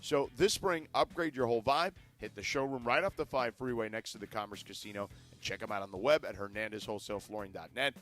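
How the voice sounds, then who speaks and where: A man co-hosting a sports talk radio show, arguing basketball points in casual debate and switching to an energetic live ad read. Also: fast talker, hardly any pauses, faint music behind. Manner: animated, pitchman energy in the ad read.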